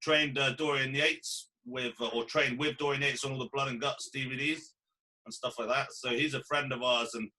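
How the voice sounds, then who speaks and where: A man's voice: medium pitch, fairly even intonation, normal speed, sharp tone, rough recording. A man in his mid-thirties hosting a casual podcast chat, laid-back, with lots of fillers and crude jokes. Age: 30s. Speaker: podcast host.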